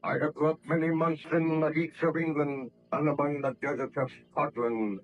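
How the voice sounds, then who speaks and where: An actor playing a brooding, vengeful monster, speaking slowly and formally with menace in 1930s radio drama style. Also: male voice, a little high, flat delivery, lightly acted. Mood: bitter, accusing. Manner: slow, menacing, deliberate, formal. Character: brooding, vengeful male monster, hideous murderous creation. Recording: dull lo-fi old radio recording.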